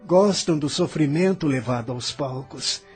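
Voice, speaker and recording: A man's voice: a little high, a little slow, a little mellow, slightly rough, soft background music. A man with a Brazilian accent playing a bitter, bewildered, philosophizing soul newly arrived in the afterlife, in a theatrical audio drama. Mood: musing, wry.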